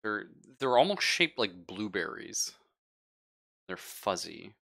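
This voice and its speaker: A man acting as game master, narrating casually and haltingly as he thinks aloud. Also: fairly low pitch, big pitch swings, vocal fry, fairly bright tone. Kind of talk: casual narration of a tabletop roleplaying game, live stream.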